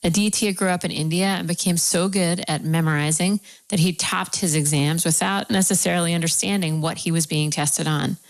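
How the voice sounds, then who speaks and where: Lady host w/ really low voice, playful, pokes fun at herself. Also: steady tone, a little fast, creaky, few pauses, rough recording. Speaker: podcast host. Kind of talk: interview hosting.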